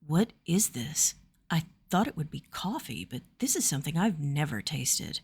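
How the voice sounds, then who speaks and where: A woman narrator voicing a tired, kindly young male warrior in a fantasy story, quiet and unhurried. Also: sits low, very animated, slightly rough, full character voice. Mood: curious.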